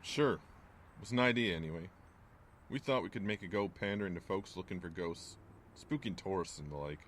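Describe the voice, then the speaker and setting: An actor playing a gruff, wary small-town landlord in an audio drama, speaking in a curt, folksy, ominous way. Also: male voice, low-pitched, moderate pace, a bit bright, a little hiss or hum. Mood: grim, wry.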